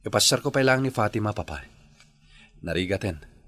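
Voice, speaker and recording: Man playing an animated, husky, protective father. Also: lowish voice, a little fast, soft background music. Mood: resentful, firm. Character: protective younger brother, a firm, indignant family man. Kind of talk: radio drama acting.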